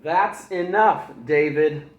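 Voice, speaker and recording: A stern storybook mother, put on by a man reading aloud, scolding her boy with loud, stretched-out warnings. Her voice goes up high above his own and booms in a roomy, echoing space. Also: recorded from a distance, dull audio. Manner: loud, drawn-out warning calls. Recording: echoey room.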